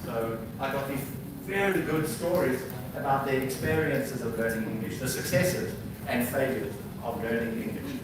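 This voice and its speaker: A man's voice: mid-range voice, normal speed, hardly any pauses. A man who is a visiting professor giving a university lecture, explaining slides step by step with frequent fillers. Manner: explaining slides, reads prompts aloud, many fillers. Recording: very echoey hall, distant mic, background noise.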